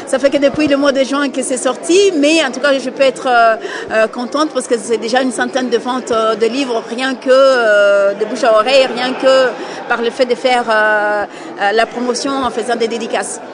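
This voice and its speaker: A woman author with a fairly high voice answers slowly and haltingly, pausing often on fillers. A busy crowd murmurs all around her. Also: fairly even intonation. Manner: hesitant, many fillers. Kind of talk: interview answers. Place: indoor book fair hall. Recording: busy crowd chatter behind.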